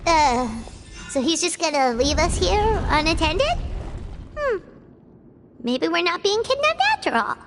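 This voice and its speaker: A tiny fairy-like companion chirps in a squeaky, sky-high voice that bounces up and down. She is excitable and blunt, and her lines sit over swelling game music. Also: a little slow, long pauses, heavily acted. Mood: wary.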